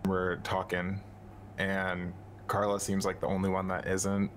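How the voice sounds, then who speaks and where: A man's voice: sits low, monotone, a little slow, a bit bright, a little hiss or hum. A man chatting casually as a player in an online game. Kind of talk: casual chat.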